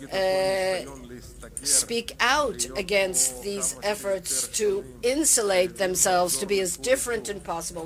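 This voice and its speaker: A woman interpreting a conference interview live from a booth, speaking slowly and in measured, halting phrases. The murmur of a large audience comes through clearly behind her, and her voice sits in the middle of the usual range. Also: mid-range voice. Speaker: simultaneous interpreter.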